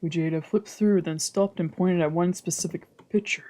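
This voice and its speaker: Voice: medium pitch, steady tone, normal speed, mellow. A streamer reading a visual novel's narration aloud in first person, translating the lines, with whispered asides.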